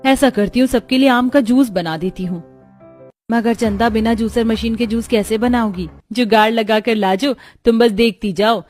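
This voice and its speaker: A woman's voice: on the high side, steady tone, moderate pace, a little mellow, faint music behind, natural voice. A woman voicing resourceful, cheerful young village daughters-in-law in a storybook tale, homely and eager. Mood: eager, practical.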